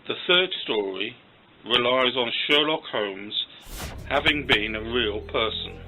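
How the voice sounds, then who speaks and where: A man's voice: mid-range voice, animated, slow pace, sharp tone, faint music behind, poor audio. A man reading a scripted account aloud, with some background noise on the recording.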